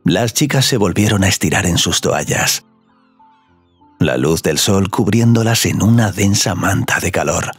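A man narrating scripted audio fiction in a slow, sensual storytelling manner. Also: low-pitched, lively intonation, husky, no echo, faint music behind.

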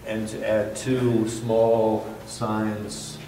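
A man with a low, flat voice walks a hearing through his building design, stumbling over fillers and restarting sentences. He is picked up by a distant microphone in a large meeting room. The sound carries a roomy echo and steady background noise. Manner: halting, many fillers and restarts. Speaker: architect presenting a project. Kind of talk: public hearing presentation. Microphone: far room mic.

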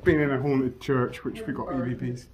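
A man's voice: medium pitch, steady tone, a little fast. A man chatting casually as a paranormal explorer, recorded on a camera. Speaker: paranormal explorer and channel host. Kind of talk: casual chat while exploring. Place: derelict building interior. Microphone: handheld or body-worn camera. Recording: dull sound with faint noise.

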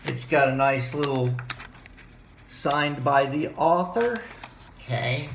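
Hoarse, gravelly man rambling at home. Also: medium pitch, takes it slowly, slight background noise. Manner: excitable, rambling, jumps between topics. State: hoarse, losing his voice. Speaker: vlogger. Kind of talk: rambling home video monologue. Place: living room at home, indoors. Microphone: desk mic on a boom arm, webcam framing. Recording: roomy home echo.

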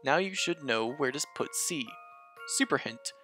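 An excitable man hosting a puzzle game walkthrough, reading the hints step by step and then gushing over the characters. His voice sits mid-range, with soft game music playing under the talk. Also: mid-range voice, unhurried. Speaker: let's-play host.

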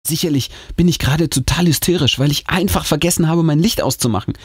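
A man's voice: a little high, fast talker, breathy edge, dry recording, studio quality. A man reading a scary story aloud as host, in a theatrical, suspenseful audiobook manner.

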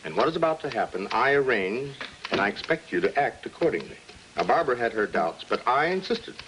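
A man's voice: medium pitch, very animated, a little fast, noisy background, lightly acted. An actor playing a genial, self-assured middle-aged American suburban father with jovial, theatrical pronouncements in a 1950s film style. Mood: pompous, commanding. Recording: 1950s film soundtrack with music behind.

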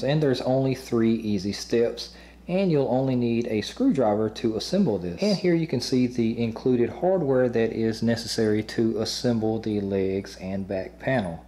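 Young male host, mellow tone, plain step-by-step how-to read. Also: medium pitch. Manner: plain, matter-of-fact explaining. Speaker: host of a review channel. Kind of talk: instructional product assembly voice-over.

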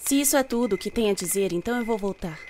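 A woman's voice: medium pitch, animated, quick pace, darkish tone, slight background noise, lightly acted. A woman playing a determined young warrior, terse and serious, in an anime fan dub. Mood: serious, resolute.

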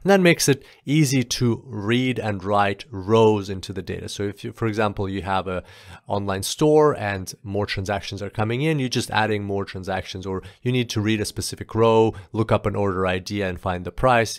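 A man's voice: on the low side, moderate pace, no room sound, studio quality. A man narrating an explanatory screencast tutorial as an instructor, informal and going step by step.

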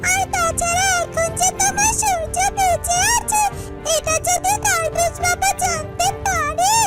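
A caring little fairytale bird, with an extremely high, childlike voice. The delivery is slow, sweet and earnest, as in a children's cartoon. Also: female voice, steady tone. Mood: agreeable. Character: small, tender, caring talking yellow bird companion. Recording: loud music behind the voice. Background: children's cartoon music.

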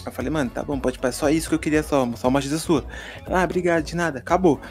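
A man's voice: on the high side, rapid-fire, soft background music. A young man on a group call, telling a story in a teasing, boastful slang style. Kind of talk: casual joking chat.

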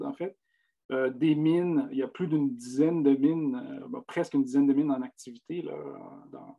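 A man in his thirties with a Quebec French accent, moderating a panel on a video call and asking long, halting questions. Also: on the high side, mellow, dull audio. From Abitibi-Témiscamingue, Quebec. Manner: wordy, halting questions with restarts. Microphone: webcam on a video call.

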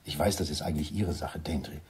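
An actor playing a businesslike, calculating secret service doctor in a radio drama. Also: male voice, a bass voice, fairly even intonation, fast talker, a little mellow, a little hiss or hum. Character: brisk, smooth-mannered male secret service doctor.

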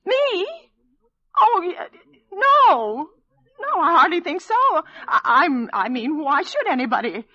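A frightened, self-pitying housewife with a high, bright voice. She is imperious and stammers with nerves, in vintage radio thriller style on a dull old broadcast recording. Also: big pitch swings, slow, exaggerated voice. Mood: flustered, self-pitying. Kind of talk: 1940s radio thriller acting.

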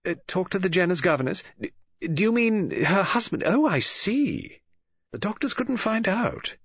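A woman's voice: mid-range voice, sing-song, normal speed, slightly rough, no room sound, highs cut off, pitched above the performer's own voice. Man voicing a gushing, swooping English lady gossip. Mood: gossipy. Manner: gushing, exclamatory, breezy. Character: chatty, flustered, gossipy middle-aged English woman novelist.

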